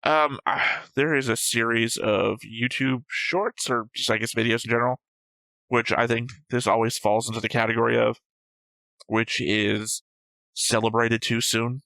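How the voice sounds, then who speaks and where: A man's voice: mid-range voice, steady tone, measured pace, creaky, pushed and bright, no echo, rough recording. A man in a casual discussion, arguing his points and swearing now and then as he analyses a show. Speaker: host of an anime reaction show.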